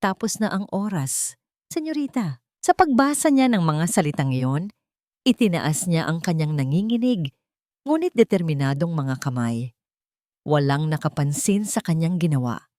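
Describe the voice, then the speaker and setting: Lowish-voiced, expressive woman storyteller. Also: normal speed, no room sound, studio quality. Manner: solemn, melodramatic. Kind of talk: dramatic scripted story narration.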